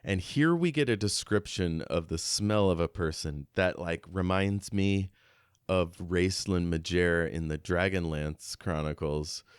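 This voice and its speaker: A man with a very low, smooth voice talks through a book as a podcast co-host. He feels his way through his thoughts in a halting, conversational manner. The sound is dry and close, with no room around it. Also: no echo. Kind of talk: casual book discussion with read-aloud excerpts.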